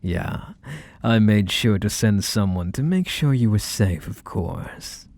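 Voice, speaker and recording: A man's voice: fairly low pitch, lively intonation, a little mellow, no echo. A man playing a possessive, teasing mafia boss lover in an intimate ASMR roleplay, speaking in a mock-sweet, condescending way full of menacing affection. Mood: casually menacing. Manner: unhurried, mock-sweet, condescending pet names. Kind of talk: ASMR roleplay, intimate one-sided dialogue.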